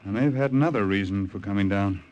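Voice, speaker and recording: An actor playing a grave, insistent American colonel briefing agents in 1940s radio drama style, with an American accent. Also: male voice, lowish voice, darkish tone, lo-fi sound, lightly acted. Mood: guarded, measured. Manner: authoritative, measured, insistent. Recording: faintly noisy vintage radio recording.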